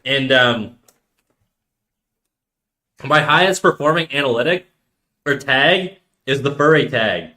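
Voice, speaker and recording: A man's voice: medium pitch, expressive, slow, pauses often, pushed and bright. A man of thirty chatting casually on a live stream, rambling in slang and reacting to chat messages. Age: 30s.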